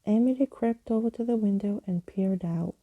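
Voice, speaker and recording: A woman reading a storybook aloud in a soft ASMR style, with a breathy voice that often slips into whispering. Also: medium pitch, normal speed, narrow, tinny audio.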